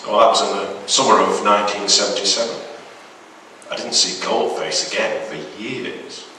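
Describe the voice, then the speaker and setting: A man's voice: on the low side, fairly even intonation, normal speed, pushed and bright, a little hiss or hum. A man giving a dramatic reading of a macabre short story as a storyteller. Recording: very echoey hall, distant microphone.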